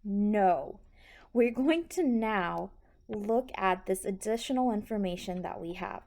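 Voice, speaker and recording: Slow-talking woman teaching step by step. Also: mid-range voice, lively intonation, vocal fry, warm tone. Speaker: accounting instructor. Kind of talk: step-by-step tutorial explanation.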